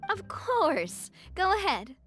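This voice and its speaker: A woman's voice: very high-pitched, huge pitch swings, takes it slowly, a bit bright, soft background music, exaggerated voice. A woman voicing a kind, resolute young female boss in a video game, earnest and used to taking charge. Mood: kind, reassuring. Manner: caring, earnest, take-charge. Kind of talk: English video game voice acting.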